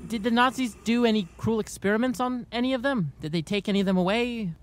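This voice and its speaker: An actor playing an insistent questioner who probes a survivor's memories in an audio drama. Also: male voice, sits high, quick pace, natural voice. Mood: pressing.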